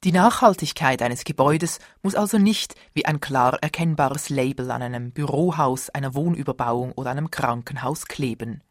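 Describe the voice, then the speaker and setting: A radio feature narrator reading a formal script in a steady way. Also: mid-range voice, normal speed, hardly any pauses, dry recording, clean studio sound.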